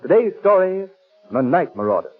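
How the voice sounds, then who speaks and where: Theatrical high-pitched 1930s radio announcer, a man. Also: very animated, moderate pace, faint music behind. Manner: theatrical, suspenseful. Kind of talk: dramatic 1930s radio scripted narration. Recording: muffled 1930s radio recording.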